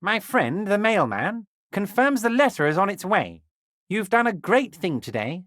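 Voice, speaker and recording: Corrupt union boss, video game character, high-pitched for a man, very animated intonation, smarmy, falsely friendly, patronizing, dry sound. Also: normal speed, no room sound. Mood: smugly pleased.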